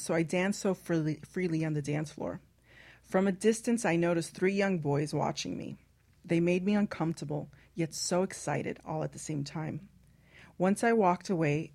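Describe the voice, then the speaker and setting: A woman hosting a casual radio talk show, playful and quick to laugh, reading a short excerpt aloud. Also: fairly low pitch, darkish tone. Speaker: host.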